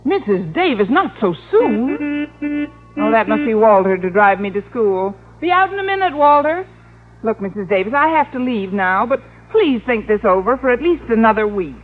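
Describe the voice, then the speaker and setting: An actress playing a wry, sensible single schoolteacher in a radio sitcom, narrating and talking with dry wisecracks. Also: female voice, fairly high pitch, very animated, moderate pace, faint music behind. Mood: worried, brisk. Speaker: star and narrator of the comedy. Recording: muffled old radio recording, studio audience.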